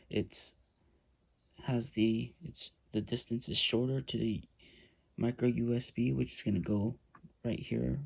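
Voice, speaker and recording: A man casually talking viewers through a hands-on gear install, relaxed and hesitant with frequent fillers. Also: mid-range voice, vocal fry, a little hiss or hum. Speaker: YouTube motorcycle gear reviewer. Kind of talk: casual step-by-step install walkthrough.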